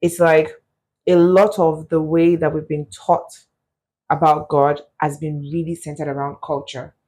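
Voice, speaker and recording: Man, host, fairly high voice, husky, probing questions. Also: little pitch movement, measured pace. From Nigeria. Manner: asks probing questions, frequent soft listening sounds. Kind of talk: interview.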